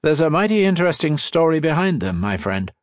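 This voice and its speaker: A man's voice: a little high, big pitch swings, a little fast, no room sound, studio quality, voice pushed up. A man voicing a scheming male assassin who poses as a genial, folksy American in an audiobook story. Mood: coaxing. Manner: falsely hearty, folksy.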